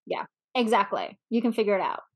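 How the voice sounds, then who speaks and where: A woman's voice: medium pitch, sing-song, fairly quick. Enthusiastic woman podcast host. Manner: enthusiastic, laughs often. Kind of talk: casual podcast interview.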